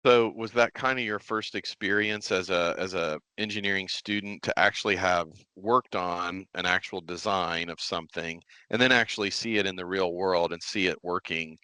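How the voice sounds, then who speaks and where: A man's voice: low-pitched, steady tone, moderate pace, few pauses, pushed and bright, smooth, no room sound. A man hosting a podcast in a casual interview style. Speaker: podcast host.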